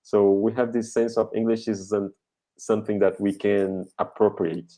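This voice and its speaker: A man with a Brazilian accent speaking English slowly on a video call, searching for his words as he hosts a conversation meeting. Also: on the low side, little pitch movement, mellow. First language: Portuguese. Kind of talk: live online conversation.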